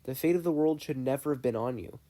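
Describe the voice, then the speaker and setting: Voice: mid-range voice, quick pace, dark tone, lightly acted. A narrator voicing a protective, encouraging, fiery older great-uncle in a fan fiction reading. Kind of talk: fan fiction audiobook character voice.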